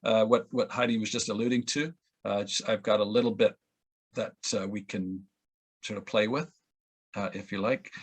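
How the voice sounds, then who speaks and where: A man's voice: on the low side, fairly even intonation, normal speed, breathy edge. A man hosting a casual discussion on a video call, hesitant and often stammering through restarts as he passes questions around. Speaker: session host.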